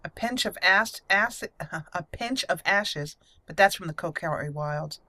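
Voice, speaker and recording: A woman giving casual, playful gameplay commentary with animated reactions, now and then reading game text aloud. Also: fairly low pitch, measured pace, no echo. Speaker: host of a game roleplay channel.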